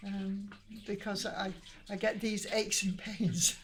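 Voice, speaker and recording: An older woman from Manchester speaks with a Lancashire accent at an everyday middle pitch. She reminisces in a chatty, meandering way, often restarting her sentences. A faint background hiss sits under her voice. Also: level tone, takes it slowly. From Manchester, England. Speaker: interviewee. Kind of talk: casual interview chat.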